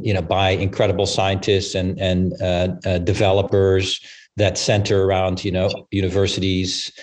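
A man speaking as an interview guest on a business podcast, thinking aloud in a halting, discursive way. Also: deep voice, darkish tone. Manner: halting, discursive, frequent "you know" tags. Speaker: investment firm partner, panel guest.

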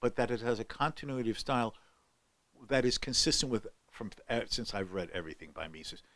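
A man's voice: mid-range voice, expressive, brisk, fairly bright tone, dry recording. An older man telling a long story on a podcast, with many fillers and restarts. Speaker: guest scholar. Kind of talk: podcast conversation.

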